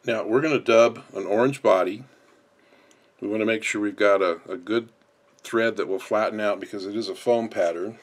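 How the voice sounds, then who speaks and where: Low-voiced man giving a hands-on tutorial. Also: moderate pace. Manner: unscripted, explanatory, step by step. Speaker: instructor.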